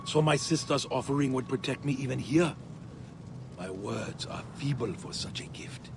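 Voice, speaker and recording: Man as humble, awed ghost, medium pitch. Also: normal speed, noisy background, natural voice. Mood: awed, grateful. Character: humble, awed spirit of a dead brother. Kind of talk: dramatic video game acting.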